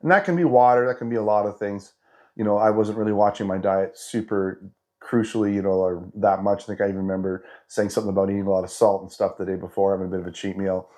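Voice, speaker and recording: A man's voice: on the low side, steady tone, quick pace, dark tone. Stuffy-nosed man in his fifties. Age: 50s. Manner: chatty and rambling, with frequent restarts and "you know". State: congested, stuffed-up nasal voice from a cold. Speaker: fitness vlogger. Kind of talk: casual update vlog to camera.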